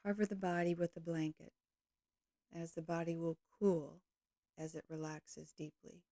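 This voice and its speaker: A woman leading a guided yoga nidra meditation, speaking calmly and gently in slow, measured short phrases. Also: deep voice, dry recording.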